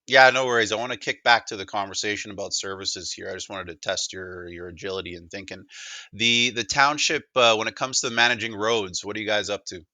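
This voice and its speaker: A man's voice: fairly low pitch, a little fast, pushed and bright, no room sound. A man presenting a demo in casual conversation, upbeat and informal, often feeling his way through a sentence. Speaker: presenter demonstrating a voice assistant.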